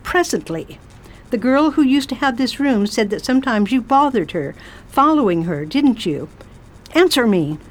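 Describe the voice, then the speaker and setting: A formidable spinster travel writer who gives orders and talks down to everyone, as a woman acts her. Her manner is crisp, condescending and brisk. Radio play acting. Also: a little high, animated, low-quality recording. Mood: stern. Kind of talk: amateur radio drama acting.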